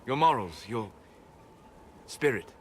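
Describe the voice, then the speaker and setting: An actor playing a grave, melancholy elven mage in a video game, speaking in a measured, reflective and formal way. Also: male voice, mid-range voice, animated, a little hiss or hum. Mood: hesitant, admiring. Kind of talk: video game dialogue acting.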